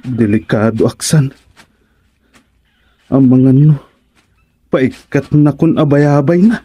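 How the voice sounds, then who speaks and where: Cowardly comedy soldier, Ilocano accent, whimpering, fairly high voice, dragging pace, dry sound. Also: male voice, warm tone. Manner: whimpering, full of sighs and wails. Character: timid, cowardly, whining male soldier serving his king. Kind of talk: Ilocano radio comedy drama acting.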